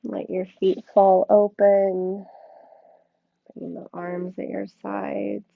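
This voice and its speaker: A woman leading a yoga class with slow, soothing guided instruction, speaking in short phrases with long pauses. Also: medium pitch, little pitch movement, creaky, dry recording. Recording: thin, phone-like band-limited sound, dry.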